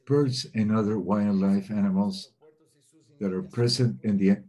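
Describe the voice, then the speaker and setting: Low husky male interpreter. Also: fairly even intonation, unhurried, warm tone, low-quality recording. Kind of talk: simultaneous interpretation of webinar talks. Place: remote webinar.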